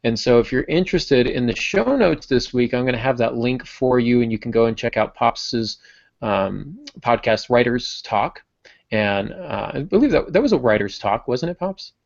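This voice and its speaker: A man hosting a writing podcast, chatting along loosely and cracking small jokes. His voice is gravelly and mid-pitched. It is recorded in a dry, dead room on a dull, lo-fi mic. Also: animated, moderate pace, dry recording. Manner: friendly, rambling with restarts and joking asides. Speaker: podcast host. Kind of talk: casual podcast chat.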